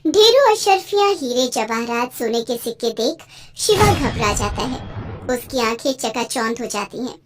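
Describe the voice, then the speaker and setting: A narrator reading a scripted children's moral story in an expressive storytelling style. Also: mid-range voice, normal speed, background noise.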